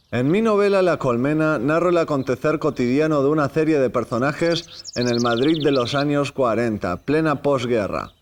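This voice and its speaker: A man's voice: medium pitch, slight background noise, close to an everyday voice. A man playing a dignified old novelist. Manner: formal, measured storytelling. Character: elderly dignified Spanish novelist, courteous. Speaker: actor playing a historical writer. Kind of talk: scripted educational drama.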